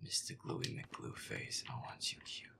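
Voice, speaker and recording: A man playing a feisty, grumbling werewolf boyfriend, muttering sweary, exasperated commentary over a scary video game in a breathy, gravelly voice. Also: a little slow, whispered, lightly acted. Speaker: gameplay performer. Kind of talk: hushed in-character gameplay commentary.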